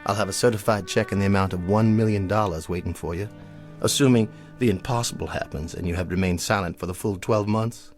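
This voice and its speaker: An actor playing a haughty, wealthy colonel in an audio drama, speaking in a measured, formal, pompous way. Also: male voice, fairly low pitch, faint music behind, lightly acted. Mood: measured, commanding. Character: haughty, wealthy club colonel, stern and exacting.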